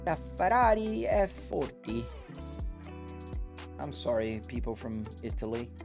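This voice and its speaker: A man's voice: medium pitch, very animated, measured pace, creaky. A man from Brazil, a native Portuguese speaker, chatting casually on a livestream as he answers viewer questions from a driving simulator. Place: home office sim rig. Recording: music playing behind the voice. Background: music, car engine sounds from game.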